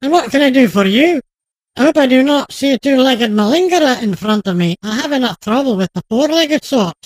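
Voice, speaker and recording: A woman acting a stern, sharp-tongued doctor in a radio drama, with a commanding, no-nonsense manner. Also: high voice, expressive, brisk, no echo, poor audio. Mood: sarcastic.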